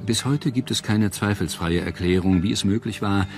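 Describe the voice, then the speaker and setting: Man, very low voice, scripted documentary narration, solemn, background music. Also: moderate pace.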